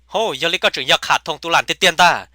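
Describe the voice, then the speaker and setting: A man voicing a comic male cartoon character who chatters in a rapid, emphatic way. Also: fairly high pitch, pushed and bright, no room sound, studio quality. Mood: excited.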